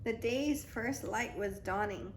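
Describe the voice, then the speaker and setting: A woman reading a picture book aloud as a mother to a toddler, in an expressive, dramatic storytime style. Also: a little high, slow, slight background noise. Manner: dramatic, child-directed.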